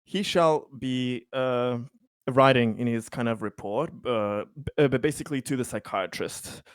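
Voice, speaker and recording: A man speaking in a halting, hesitant way during a tabletop roleplaying game. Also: medium pitch, smooth, dry recording, studio quality.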